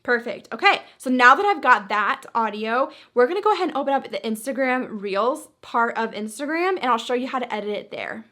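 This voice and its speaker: A woman's voice: a little high, expressive, fairly quick, few pauses, bright, forceful tone. A woman hosting a social media tutorial, talking casually and chattily through each step.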